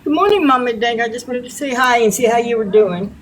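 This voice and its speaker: A woman in her fifties who chimes in often with warm, supportive comments in a casual live-stream chat. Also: fairly high pitch, brisk. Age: 50s. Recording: very noisy audio.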